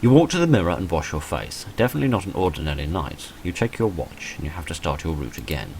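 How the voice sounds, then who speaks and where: A man with a very low voice narrates a visual novel as he plays it. His delivery is animated and moves widely in pitch, broken by dry, self-deprecating asides. There is faint noise behind him. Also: fast. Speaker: video creator playing and reading a visual novel. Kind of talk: let's play, reading game text aloud with off-script asides.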